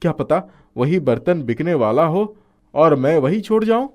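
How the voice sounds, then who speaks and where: A man voicing a poor, kind-hearted husband in a cartoon moral story, speaking earnestly and gently. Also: a little high, big pitch swings, moderate pace. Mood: troubled. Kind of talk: animated moral story acting.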